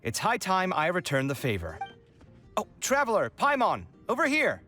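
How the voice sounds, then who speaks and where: A man playing an expressive, earnest young scholar who gets exasperated easily, in a video game. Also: high-pitched, moderate pace, faint music behind, full character voice. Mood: generous, cheerful. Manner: earnest, dramatic.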